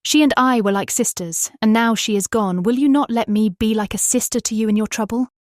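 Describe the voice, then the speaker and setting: A woman with a British accent playing a tender young Victorian wife, reading her diary in an earnest, expressive, formal way for a dramatised audiobook. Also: a little high, brisk, dry recording, clean studio sound, natural voice. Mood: tender, sorrowful.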